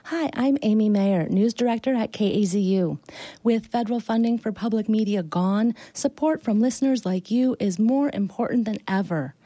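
A woman reading a scripted donation appeal for a radio station. Her voice is mellow and mid-pitched, recorded dry and close. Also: normal speed, dry recording. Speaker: news director making a fundraising appeal. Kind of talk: scripted promo read.

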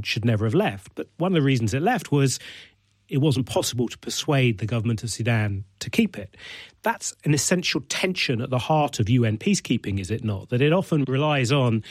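A man's voice: medium pitch, dry recording, clean studio sound. A man hosting a radio interview, asking probing questions and narrating in a composed broadcast manner. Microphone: studio mic.

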